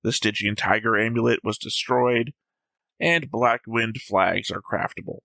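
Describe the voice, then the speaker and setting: A man with a fairly low but bright voice reads methodically through his notes in a casual discussion. He stays careful and measured, and the sound is dry and close. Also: vocal fry, no room sound. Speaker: reaction show host.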